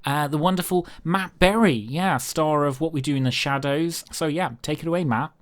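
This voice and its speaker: A man's voice: a little high, normal speed, no echo. Britse man, spelleider, droog opgenomen. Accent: British. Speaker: game master and podcast host. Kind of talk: hosting and narrating a tabletop game.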